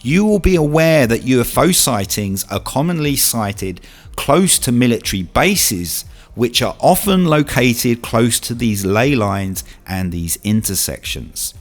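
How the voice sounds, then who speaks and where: Man narrating with lively, swinging intonation. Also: mid-range voice, a little slow. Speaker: video narrator and presenter. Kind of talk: scripted documentary-style narration. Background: music.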